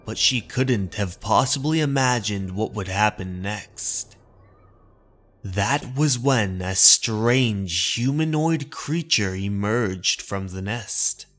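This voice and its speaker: A man's voice: fairly low pitch, a bit bright, slight background noise. A man delivering scripted narration of a horror story as narrator and host.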